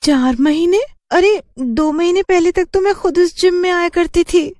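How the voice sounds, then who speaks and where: A woman's voice: very high voice, steady tone, moderate pace, darkish tone, no echo, clean studio sound, voice pushed up. A woman voicing a distressed, lovesick young daughter in a horror cartoon, stammering and pleading. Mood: puzzled. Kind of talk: Hindi horror cartoon dubbing.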